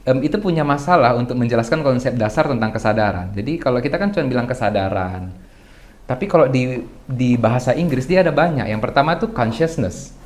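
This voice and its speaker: A young man sitting in as a guest on a casual talk show, with a voice neither high nor low. He works through his points in a reflective, explanatory way. He restarts phrases, poses his own questions and keeps clearing his throat between thoughts. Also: animated, fairly quick, darkish tone. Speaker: guest speaker. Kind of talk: casual podcast discussion.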